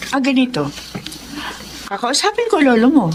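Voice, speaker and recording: An actress playing a smooth-talking, scheming female recruiter in a radio drama, coaxing and persuasive. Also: high-pitched, sing-song, normal speed, background noise. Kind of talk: Tagalog radio drama acting.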